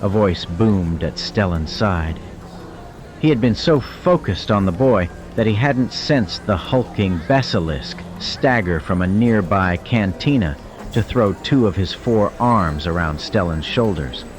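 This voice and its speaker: A man's voice: on the low side, animated, a little slow, noisy background. A man giving dramatic scripted narration of a fiction audiobook. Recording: studio narration over cinematic music.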